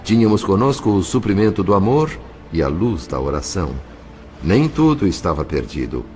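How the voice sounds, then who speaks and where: Man playing solemn brazilian narrator for a radio novela, really deep, grave, faint music behind. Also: sing-song, normal speed, a little mellow. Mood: solemn, tender. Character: solemn male spirit narrator recounting a family tragedy. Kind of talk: dramatic scripted narration.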